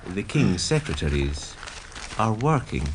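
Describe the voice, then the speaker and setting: A man giving measured, formal scene-setting narration for a radio drama. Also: deep voice, sing-song, very noisy, heavily acted. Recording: music playing behind the voice. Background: music.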